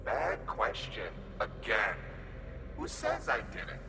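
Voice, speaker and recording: A man's voice: on the low side, very animated, slow, creaky, background noise, exaggerated voice. A man voicing a mocking, glitchy, grinning entity in the mind of the hero, with a taunting, condescending manner. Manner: taunting, condescending, sing-song. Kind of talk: audio drama acting.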